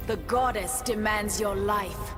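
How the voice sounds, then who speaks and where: A vengeful feline predator played by a woman stalks through each line of this game dialogue. The pace is slow and the pitch sits in the middle, menacing and taunting, with loud music behind. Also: fairly even intonation, natural voice. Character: fierce feline huntress, vengeful half-woman half-beast predator. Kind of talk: dramatic video game acting.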